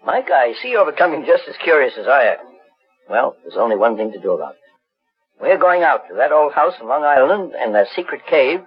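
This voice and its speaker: An actor playing a courteous, composed master investigator in a 1940s radio drama, speaking deliberately and politely. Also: male voice, mid-range voice, very animated, husky. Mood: curious. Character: kindly, measured, courteous male master investigator. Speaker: actor, lead in a detective radio serial. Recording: muffled 1940s radio recording.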